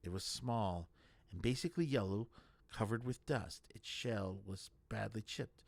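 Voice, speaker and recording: A man narrating a comic fantasy novel aloud, deliberate, with small slips and restarts. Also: fairly low pitch, vocal fry, dry recording. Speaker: audiobook reader. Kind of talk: storybook narration.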